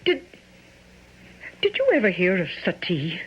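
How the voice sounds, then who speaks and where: A world-weary mistress looking after herself in a radio drama. She is husky and theatrical, drawing words out very slowly with big swoops. The recording is dull. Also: on the low side, huge pitch swings, full character voice. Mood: wry, defensive. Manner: theatrical, halting, drawn-out delivery. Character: world-weary, self-protective mistress, mother of teenagers. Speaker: actor.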